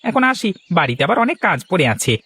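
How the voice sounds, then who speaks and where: Man as high-pitched honest village vegetable seller. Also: sing-song, quick pace, sharp tone, slightly rough, no echo, full character voice. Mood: grateful, polite. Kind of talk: cartoon voice acting.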